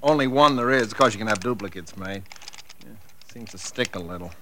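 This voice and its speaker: Casting: talkative house agent, medium pitch, forceful bright tone, lively inflection, old-time radio drama. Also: male voice, fairly quick, a little hiss or hum. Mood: salesmanlike. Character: chatty, eager-to-please male real estate agent. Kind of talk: 1940s radio drama acting. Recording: vintage radio recording.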